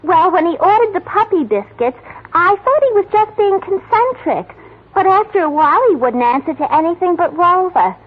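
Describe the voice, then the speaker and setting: An actress playing a scatterbrained young secretary who mixes up big words, in 1940s radio acting on a dull old broadcast. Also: female voice, extremely high pitch, expressive, normal speed. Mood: chirpy. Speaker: detective's secretary.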